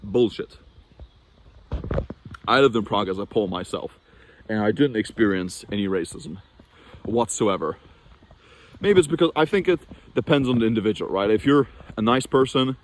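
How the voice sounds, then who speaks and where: Low-voiced young man chatting casually. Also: expressive, moderate pace, pauses often, slight background noise. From Norway. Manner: chatty and rambling, with frequent restarts and fillers. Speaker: vlogger. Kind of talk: casual talking-to-camera vlog.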